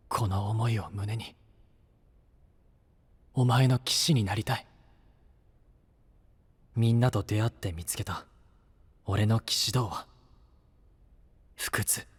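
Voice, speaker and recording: An actor playing an earnest, devoted young knight in a Japanese voice drama, speaking in a deliberate, halting way. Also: male voice, mid-range voice, breathy edge. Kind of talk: Japanese voice drama acting, monologue. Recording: music behind the voice.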